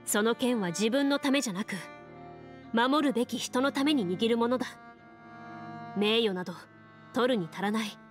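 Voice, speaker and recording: A composed young swordswoman from an anime game, acted by a woman, speaks with firm authority in a slightly raised pitch. She is blunt and plain-spoken, with faint music behind her. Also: moderate pace, pauses often, natural voice. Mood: stern, urging. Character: stern, composed young woman, a principled champion duelist. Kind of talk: Japanese anime game voice acting.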